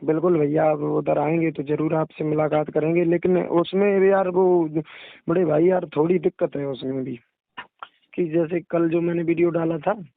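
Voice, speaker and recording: Young guy on a phone call, kinda high voice, explaining stuff politely, dry lo-fi line. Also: flat delivery, moderate pace, gravelly, no room sound. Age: young adult. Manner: deferential, explanatory. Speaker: religious storyteller (kathavachak). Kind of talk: casual phone conversation, explaining. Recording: recorded phone call, narrow dry sound.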